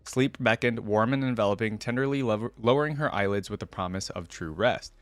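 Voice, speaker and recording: A man's voice: lowish voice, a little fast, no echo. A man hosting a reaction video, moving between informal, rambling commentary and reading text aloud. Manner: informal, rambling, thinking out loud.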